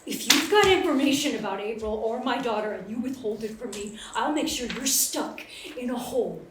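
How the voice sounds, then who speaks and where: A woman's voice: on the high side, expressive, a little fast, natural voice. A woman playing a hard-edged, confrontational detective in a live screenplay table read. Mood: angry, threatening. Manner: blunt, confrontational. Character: hard-edged, driven detective haunted by a missing daughter. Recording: echoey room, faint noise.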